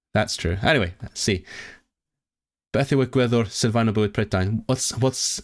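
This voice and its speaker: A man chatting live with his viewers, reading quiz questions aloud, translating them and often restarting his sentences. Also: lowish voice. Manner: chatty and animated, reacting to the chat. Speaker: livestreamer. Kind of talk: casual livestream chat with viewers.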